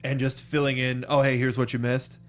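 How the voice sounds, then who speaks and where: A man's voice: medium pitch, level tone, a little fast. A man hosting a review show in a casual, informal and enthusiastic way. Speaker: show host.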